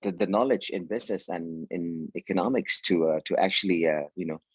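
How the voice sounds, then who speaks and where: A man's voice: low-pitched, a little fast, breathy edge, dry recording, soft background music. A middle-aged Thai man, a news anchor and TV host whose first language is Thai, answering interview questions with many fillers and repeated words. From Thailand.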